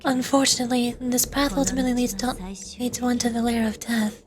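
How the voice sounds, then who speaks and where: A woman with a high voice plays through a story game on stream. She reads the on-screen text aloud dramatically and drops into casual reactions, with game music playing behind her. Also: flat delivery, brisk. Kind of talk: live game playthrough, reading dialogue aloud and reacting. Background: video game music.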